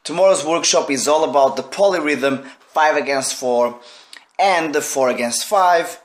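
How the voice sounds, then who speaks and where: A tired, hoarse man, a drum teacher, talking casually to camera in a vlog about his teaching day. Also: a little high, normal speed, a little hiss or hum.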